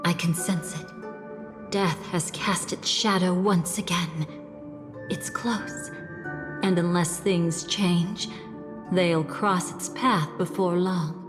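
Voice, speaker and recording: Video game role: composed, watchful woman, slow deliberate delivery, music underneath. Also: lowish voice, steady tone. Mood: grave, pondering. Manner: measured, deliberate. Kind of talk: scripted video game voice acting.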